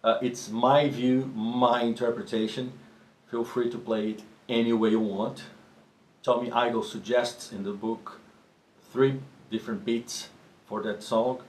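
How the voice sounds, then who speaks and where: A man whose first language is Portuguese, talking about drumming as a teacher in a relaxed, conversational way with frequent restarts. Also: mid-range voice, unhurried. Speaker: drum teacher. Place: drum studio. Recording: echoey room with faint ambient noise.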